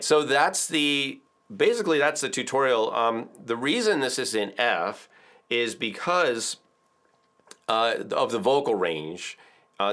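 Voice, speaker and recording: A middle-aged man giving a relaxed ukulele lesson to camera in an indoor studio, chatty and full of filler words. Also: mid-range voice. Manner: chatty, explains with filler words and counts aloud. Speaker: ukulele teacher. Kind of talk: relaxed video tutorial.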